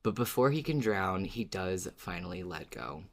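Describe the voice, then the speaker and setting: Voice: sits low, expressive, normal speed. A podcast co-host chatting casually in a fan recap, effusive and dramatic, sometimes feeling out a thought mid-sentence.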